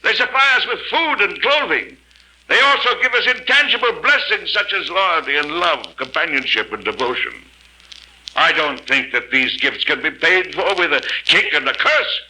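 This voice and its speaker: A man's voice: high voice, sharp tone, full character voice, low-quality recording. An actor playing an earnest, principled man who pleads for animals, speaking formally and persuasively in an old-time radio drama. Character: earnest male animal-welfare advocate, principled. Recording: faintly hissy old radio recording.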